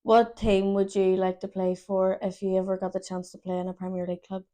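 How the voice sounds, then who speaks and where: A woman hosts a casual podcast interview with a warm, mellow voice. She asks curious questions and laughs along easily. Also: mid-range voice, flat delivery, a little fast. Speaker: podcast host.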